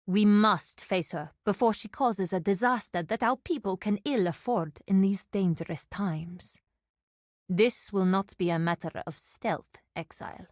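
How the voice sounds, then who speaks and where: An actress voicing a proud, dutiful desert warrior woman in a video game, speaking in a formal, measured, solemn way. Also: on the low side, no room sound, studio quality, natural voice. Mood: resolute. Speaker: game character.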